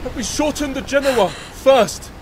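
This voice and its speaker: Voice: high-pitched, expressive, measured pace, a little mellow, a little hiss or hum. An actor playing a protective, commanding crewmate on a sailing boat, giving short urgent orders and anxious checks in an audio drama.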